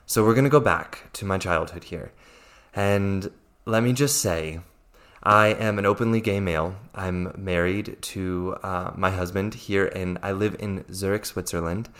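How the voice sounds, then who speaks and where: A man's voice: deep voice, measured pace, a bit bright. An American man from Virginia hosting his own podcast as a mindset coach, speaking in an earnest, reassuring way that often repeats itself. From Virginia, USA. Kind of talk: solo podcast monologue.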